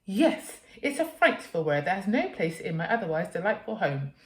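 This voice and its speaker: A woman's voice: medium pitch, big pitch swings, normal speed, natural voice. A prim, house-proud storybook mother, put on by a woman reader, raising her voice in exasperated despair. She wails and bellows with fussy indignation. The sound rings in an echoey room. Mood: wailing, indignant.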